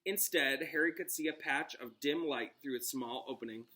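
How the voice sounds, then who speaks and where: A man's voice: mid-range voice, moderate pace, pushed and bright. A man reading a storybook aloud as a teacher, with dramatic narration aimed at children. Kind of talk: expressive storybook read-aloud with asides.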